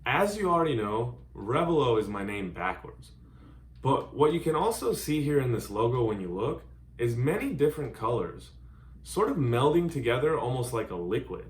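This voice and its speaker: A man's voice: mid-range voice, sing-song, normal speed. Earnest man in his thirties, roomy sound. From Hungary. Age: 30s. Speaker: DJ and music producer. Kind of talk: scripted self-introduction to camera. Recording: roomy echo.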